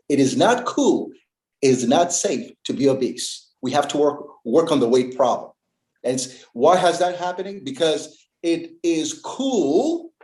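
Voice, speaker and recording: A man from Uganda speaking in a panel discussion, earnest and emphatic, stressing key words with long pauses. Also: a little high, a little mellow, reverberant. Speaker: panel guest.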